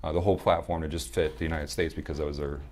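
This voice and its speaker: A man with a very deep, slightly hoarse and smooth voice talks quickly as a podcast guest. He rambles through stories with restarts and fillers in relaxed conversation. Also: a little hiss or hum. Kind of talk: casual interview chat.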